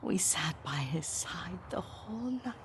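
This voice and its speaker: An actress playing a frail, devoted elderly Japanese woman in a game drama, breathy and weak, halting and wistful, often fading into a whisper. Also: fairly low pitch, expressive, noisy background. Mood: wistful, tender. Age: older. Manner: tender, halting, wandering. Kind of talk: dramatic video game acting. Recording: music behind the voice.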